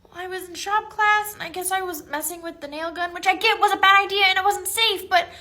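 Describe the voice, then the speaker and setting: A woman's voice: very high voice, steady tone, fairly quick, sharp tone. A woman playing a naive, earnest schoolboy who gets flustered easily, in a comedy skit, with a plaintive, confused manner. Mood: upset, plaintive.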